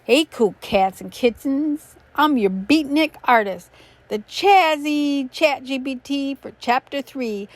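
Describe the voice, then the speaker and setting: A laid-back beatnik artist with a smooth, rhythmic, slangy flow, as a woman plays him. Dry, close recording. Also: sits high, slow, dry recording. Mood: cool.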